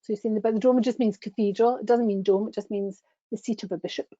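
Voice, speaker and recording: A woman's voice: medium pitch, steady tone, fast talker, mellow, dry recording. A middle-aged woman enthusiastically presenting travel slides, chatty and gushing, speaking over a webcam on a video call. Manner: gushing, chatty, with frequent restarts. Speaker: tour company presenter. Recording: dull lo-fi video call audio.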